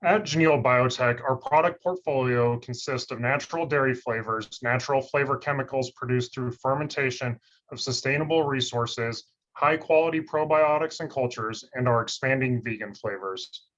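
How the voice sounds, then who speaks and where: A man scientist giving a steady, monotone scripted webinar presentation over a video call. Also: mid-range voice, normal speed. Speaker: industry research scientist presenting. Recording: slightly dull lo-fi call audio.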